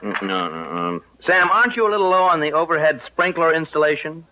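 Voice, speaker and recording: Sly, folksy contractor, very animated. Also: male voice, fairly high pitch, a little fast. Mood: smug, persuasive. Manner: folksy, chuckling salesman patter. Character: sly, folksy male building contractor, cheerfully money-hungry. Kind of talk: old-time radio comedy acting. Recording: muffled, noisy old radio recording.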